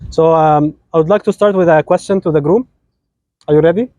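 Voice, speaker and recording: Quick, teasing Egyptian man. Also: fairly high pitch, warm tone, no echo, highs cut off. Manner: playful, teasing, switches between English and Arabic. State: sick, voice not at full strength. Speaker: wedding officiant. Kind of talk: lively wedding sermon, joking with the couple.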